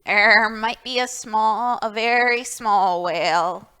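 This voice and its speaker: A woman voicing a kindly old male goat in a storybook, gentle and indulgent in manner. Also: fairly high pitch, steady tone, takes it slowly, clean tone.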